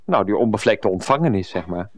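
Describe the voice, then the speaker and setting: A man's voice: lowish voice, animated, fairly quick, slight background noise, natural voice. An actor playing a meek, naive, easily pushed-around man in a comic radio play, hesitant and mild. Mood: polite, evasive. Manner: hesitant, mild protests, verbal tics.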